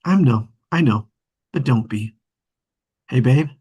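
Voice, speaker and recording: Earnest grown brother, husky voice, sentimental, medium pitch, naturalistic family drama. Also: male voice, animated, takes it slowly, warm tone. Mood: tender, reassuring. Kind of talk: naturalistic drama table read.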